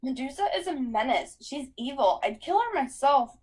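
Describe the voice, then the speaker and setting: Woman voicing a brisk know-it-all teen girl. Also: high-pitched, very animated, a little mellow, breathy edge, exaggerated voice. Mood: urgent. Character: clever, bossy teenage girl. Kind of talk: audiobook character voice.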